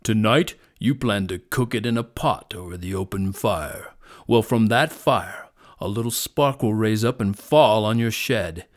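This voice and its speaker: Male storyteller as a storybook lion king, deep, powerful, booming, solemn, commanding. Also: lightly acted. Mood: grave. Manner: solemn, slow, authoritative proclamations.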